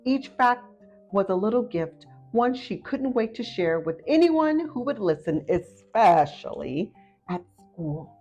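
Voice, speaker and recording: A woman narrating a children's picture book aloud in an expressive storytelling style. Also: mid-range voice, measured pace. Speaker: storybook reader. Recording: muffled audio with faint music behind.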